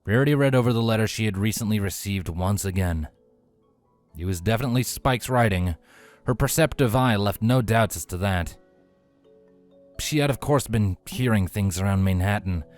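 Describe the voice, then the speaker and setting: A man reading a fantasy story as narrator in a scripted, dramatic style with deliberate pauses. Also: fairly low pitch. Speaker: fan fiction narrator. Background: faint background music.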